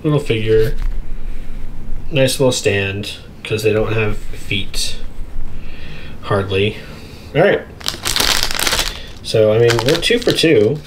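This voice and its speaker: A man's voice: on the low side, animated, takes it slowly, pauses often, poor audio. A man hosting an unboxing video with casual, chatty commentary and easygoing asides to viewers. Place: indoors at a tabletop. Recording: clear background noise, crinkling packaging. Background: crinkling plastic bags, clicks.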